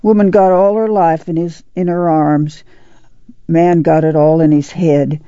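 Woman acting dark-voiced, folksy country mother. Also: low voice, fairly even intonation, measured pace, dry recording. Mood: gentle, soothing. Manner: plain-spoken folksy country speech. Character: steady, soothing, strong-willed rural mother. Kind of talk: dramatized literary reading. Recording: dull, muffled audio.

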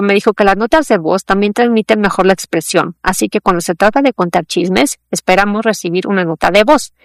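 Female news reader, mid pitch, dry close sound, measured delivery. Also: vocal fry, no room sound. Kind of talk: read-aloud news feature.

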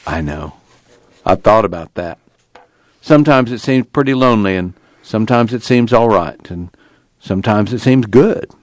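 A man voicing a lonely, resigned country drifter in an audiobook, wistful and quietly stubborn, with folksy rural dialect. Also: fairly low pitch, expressive, moderate pace, no echo, close to an everyday voice.

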